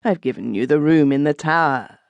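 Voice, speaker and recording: A man's voice: on the high side, fairly quick, vocal fry, no room sound, dull audio. Man reading as an ominous, formal hostess mother. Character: grave, ominous mother of a schoolfellow, nightmare hostess. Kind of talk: audiobook character quote.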